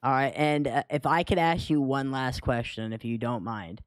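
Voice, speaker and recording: A man's voice: mid-range voice, normal speed, clean tone, no echo. A man co-hosting and interviewing on a casual livestream. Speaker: co-host interviewer.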